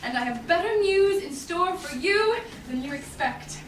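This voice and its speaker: A woman's voice: very high voice, lively intonation, moderate pace. A young woman playing a witty, self-possessed noble lady in a Shakespeare staged reading, projecting from a theatre stage. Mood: poised, knowing. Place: small theatre stage with audience. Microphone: far camera in the audience. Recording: roomy, distant, noisy theatre recording.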